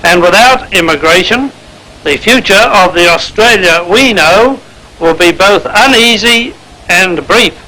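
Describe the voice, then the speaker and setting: A man's voice: sits high, lively intonation, measured pace, pushed and bright, rough recording. A man delivering a formal political speech in an emphatic, oratorical manner.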